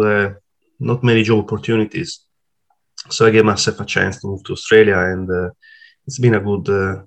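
A man in his forties speaks with a clear Italian accent, his voice low and gravelly with plenty of fry. He talks through memories as a podcast guest, pausing often with fillers and restarting his sentences. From Italy. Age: 40s. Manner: hesitant, many fillers and restarts. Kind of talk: casual interview talk.

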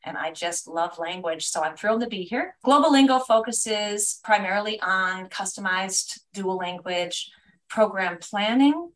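An American woman answers interview questions with clear enthusiasm, often stacking her points into lists. Her voice sits at a middle pitch, and she sounds like a guest speaking over a remote call. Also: expressive, measured pace, rough recording. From United States. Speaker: guest, language education consultant.

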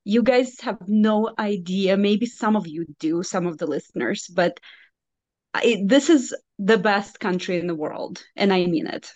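A woman's voice: medium pitch, unhurried, a little mellow, no room sound. A woman in her forties from Belarus with a Belarusian accent, Russian her first language, speaking as an interview guest in an earnest, emphatic way. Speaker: guest nurse coach. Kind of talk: casual interview chat.

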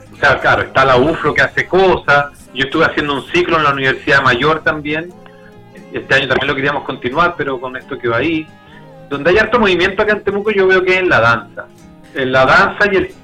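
A man's voice: on the high side, bright, forceful tone, poor audio. A Chilean man from Santiago talking casually and candidly as a musician being interviewed, in a reflective, colloquial way.

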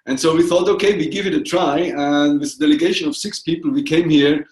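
Echoey higher-voiced Austrian man. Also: lively intonation, fairly quick, darkish tone. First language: German. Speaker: interview guest, coworking co-founder. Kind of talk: casual interview answer. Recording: roomy, echoey sound.

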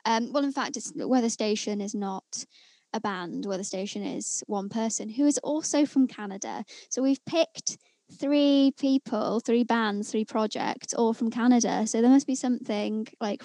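A young woman with a fairly high voice talks through a song she loves, reading from her notes in a chatty, enthusiastic way. The sound is dry and close, with almost no room on it. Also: darkish tone, no room sound. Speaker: podcast co-host reviewing songs. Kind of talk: casual music review chat.

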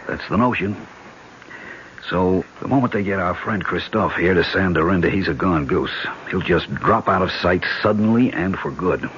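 A muffled, noisy old radio broadcast. a hard-bitten American government man with a low voice, cool-headed under threat, in 1970s radio drama style. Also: moderate pace, fairly bright tone. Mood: terse, grim.

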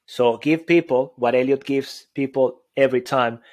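Energetic Venezuelan-accented man giving speaking tips. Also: mid-range voice, normal speed. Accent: Venezuelan Spanish. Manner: energetic, emphatic, stacks repeated phrases. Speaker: podcast co-host. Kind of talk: motivational speaking tips on a live audio show.

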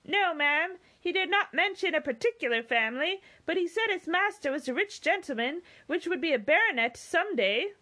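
A woman's voice: very high voice, lively intonation, normal speed, few pauses, sharp tone, pitched above the performer's own voice, heavily acted. A woman voicing a polite, obliging male waiter at an inn in an audiobook novel. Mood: politely apologetic.

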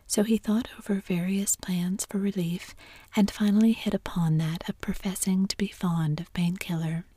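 A woman narrating a classic story in a soft whisper throughout. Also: medium pitch, steady tone, moderate pace, breathy edge, dry recording. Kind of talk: whispered audiobook reading.

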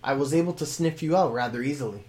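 A man's voice: medium pitch, fast talker, darkish tone, natural voice. Man doing a polite talking dog. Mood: earnest.

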